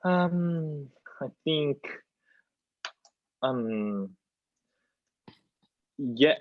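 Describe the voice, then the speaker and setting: A man's voice: a little high, animated, very slow, dry recording. A young man from South Korea with a Korean accent, answering hesitantly and searching for words on a video call. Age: young adult. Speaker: student panelist, aspiring actor. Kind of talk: unscripted discussion answer. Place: plain room indoors, video call. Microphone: wireless earbuds on video call. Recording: dull, lo-fi video call audio.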